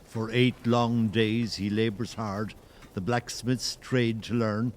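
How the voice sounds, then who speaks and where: A man's voice: on the low side, level tone, slow pace, slight background noise. A man playing a solemn storyteller, giving dramatic, lyrical narration in an audio play. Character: solemn storyteller narrating an old Irish tale.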